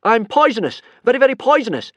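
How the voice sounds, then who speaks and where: A man voicing a clever young Viking boy, shouting urgently and fast-thinking under pressure. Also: sits high, big pitch swings, no room sound, muffled recording, pitched above the performer's own voice.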